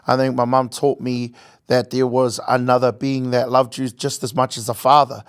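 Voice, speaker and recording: A man's voice: mid-range voice, flat delivery, moderate pace, clean tone. Earnest young New man guest. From American Samoa, raised in New Zealand. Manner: earnest, reflective, with jokey asides. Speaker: guest, content creator. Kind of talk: casual interview chat.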